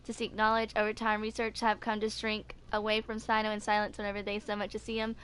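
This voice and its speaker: A woman's voice: medium pitch, monotone, quick pace, a bit bright, clear, resonant tone. A woman in her twenties casually reading game character lore aloud, stumbling and correcting herself as a video host. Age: 20s.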